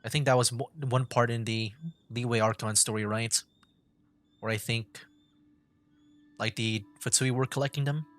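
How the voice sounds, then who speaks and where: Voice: mid-range voice, steady tone, moderate pace, long pauses, a bit bright, no room sound. A streamer giving casual, offhand, chatty commentary while playing a game. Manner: offhand and chatty, muttering reactions. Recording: over game music.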